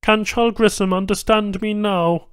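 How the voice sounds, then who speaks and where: Man voicing a clipped, high-pitched alien technician. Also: moderate pace, clean tone, no room sound, natural voice. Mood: curt, clinical. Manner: clipped broken syntax, flat and simple. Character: unyielding, terse alien technician, clinical and indifferent. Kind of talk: audiobook character acting.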